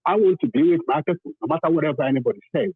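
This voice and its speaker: A man's voice: a little high, very fast, husky, no room sound. Nigerian man on a thin phone line. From southern Nigeria. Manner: emphatic, repeats phrases for stress. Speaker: caller to a talk programme. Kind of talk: impassioned phone-in testimony.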